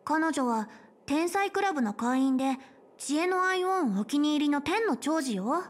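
A woman voicing a young, polite and caring space station chief in a Japanese game, speaking in a measured, articulate way. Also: high-pitched, animated. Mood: calm, friendly. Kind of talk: Japanese game voice acting, explanatory dialogue. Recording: game dialogue over background music.

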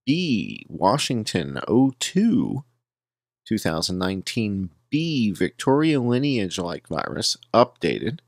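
A man reading a written document aloud as narrator, deliberately, in short, emphatic, measured phrases. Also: fairly low pitch, huge pitch swings, creaky, dry recording, clean studio sound.

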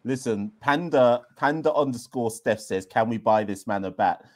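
Casting: enthusiastic male host, mid-pitched voice, small indoor room, desk webcam. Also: normal speed, darkish tone. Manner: enthusiastic, emphatic. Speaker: podcast host. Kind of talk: live call-in show hosting.

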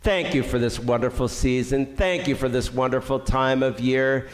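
A man's voice: medium pitch, fairly even intonation. A middle-aged man with a Canadian accent, a pastor leading prayer and giving the benediction in a small church, speaking earnestly. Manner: slow, earnest, warm pastoral delivery. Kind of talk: church service closing and benediction. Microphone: far camera. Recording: reverberant church hall.

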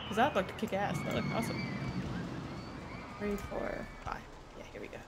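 A woman livestreaming a game, chatting casually, laughing often and swearing freely, her voice a little hoarse. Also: mid-range voice, fairly even intonation, normal speed. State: slightly hoarse. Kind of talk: casual gameplay chat. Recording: noisy home stream recording.

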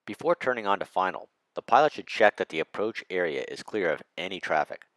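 Man, very deep voice, flight instructor, measured narration, dry studio sound. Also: expressive, a bit bright, no echo. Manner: measured, precise instructional delivery. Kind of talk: scripted narration over slides.

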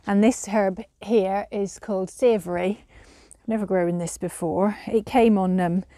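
Woman, 30s, home gardener, casual tour; mellow, low-key voice, little pitch movement, hesitant, recorded outdoors. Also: steady tone, unhurried, no echo. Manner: chatty, hesitant, with frequent fillers. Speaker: gardener showing her garden.

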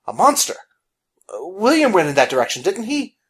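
A narrator voicing a bossy, easily flustered gentleman adventurer in a storybook, pompous and blustering. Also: mid-range voice, very animated, quick pace, husky, pitched above the performer's own voice. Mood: alarmed.

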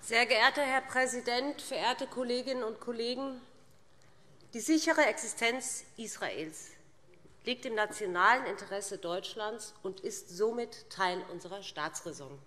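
A middle-aged woman giving a formal, prepared political speech with deliberate, emphatic phrasing, speaking at a lectern microphone as a parliamentarian. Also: fairly high pitch, sharp tone. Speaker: parliamentary speaker for a party group. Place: large indoor parliament chamber. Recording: reverberant large hall.

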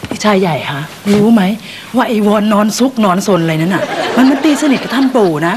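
An actress playing an indignant, spoiled younger sister who whines and shouts at her big brother. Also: medium pitch, expressive, a little fast, crowd noise, noisy background, natural voice. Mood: tattling. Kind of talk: sitcom acting.

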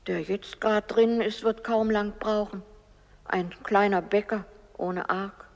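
An actress playing a kindly, humble old woman in a radio play, speaking in a gentle, patient, measured way. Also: medium pitch, steady tone, close to an everyday voice. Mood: patient, explaining. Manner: gentle, measured, plain-spoken. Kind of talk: German radio play acting. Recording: long reverberant hall.

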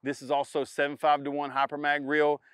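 Confident middle-aged man with a smooth voice. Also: on the high side, completely flat delivery, brisk, fairly bright tone, dry recording, studio quality. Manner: confident, emphatic, repeats key points. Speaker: pro bass angler. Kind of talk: instructional product demo to camera. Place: outdoors in a spring woodland.

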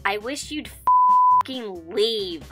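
A woman's voice: fairly high pitch, huge pitch swings, very slow, bright, forceful tone, soft background music, exaggerated voice. A woman voicing a grumpy cartoon teen boy in a fan dub of comic strips, sounding snappy and exasperated.

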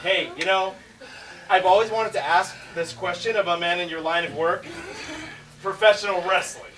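A man's voice: sits high, fairly even intonation, moderate pace, fairly bright tone. A man on stage playing a polite, awkward neighbour, speaking hesitantly in a comic style. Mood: tentative. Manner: hesitant, stammering. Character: nervous, polite, awkward male next-door neighbour. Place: small theatre stage, indoors. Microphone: far camera in the audience. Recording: distant noisy stage recording with audience.

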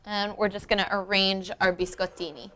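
A woman's voice: medium pitch, flat delivery, moderate pace, a bit bright. A young woman presenting a cooking lesson in a conversational way, explaining each step directly to the viewer. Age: young adult. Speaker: cooking show host. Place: home-style kitchen, indoors.

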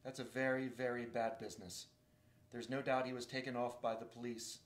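A folksy, earnest male beaver from a storybook, talking loud and emphatic in a rustic way, as a man reading aloud puts him on. The voice rings a little in a roomy space. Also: mid-range voice, flat delivery, brisk, lightly acted. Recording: roomy echo.

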